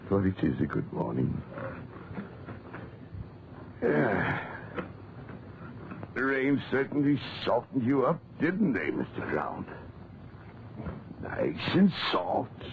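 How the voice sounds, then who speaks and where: An actor playing a kindly, talkative old English gentleman gardener who mutters to himself, in a 1940s radio drama. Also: medium pitch, huge pitch swings, slow, gravelly, pauses often, heavily acted. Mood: contented, unhurried. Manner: rambling, muttering to himself and to things. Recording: muffled noisy 1940s radio recording.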